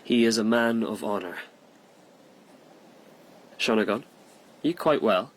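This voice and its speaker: A man's voice: fairly low pitch, takes it slowly, low-quality recording. An actor in a radio drama playing a proud nobleman of the old Japanese court, formal and questioning in manner. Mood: admiring, concerned.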